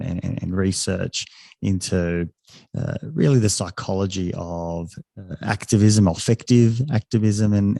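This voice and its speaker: A man's voice: on the low side, gravelly, mellow, no room sound, studio quality. A man hosting a podcast, asking long questions with frequent repeated words and restarts. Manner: halting, repeats words often, enthusiastic. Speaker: podcast host. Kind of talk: interview questions.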